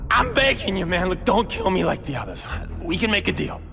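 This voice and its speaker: A man voicing a shifty, nervous gang boss who stammers and wheedles in a gravelly voice in a video game. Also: high-pitched, sing-song, fairly quick, exaggerated voice. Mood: pleading. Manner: stammering, pleading. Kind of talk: video game cutscene acting. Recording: long reverb with music behind.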